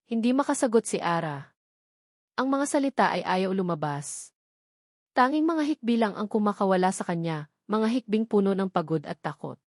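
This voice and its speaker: A woman's voice: medium pitch, darkish tone, no echo. Woman narrating drama, dry close sound. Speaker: story narrator. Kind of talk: dramatic scripted storytelling narration.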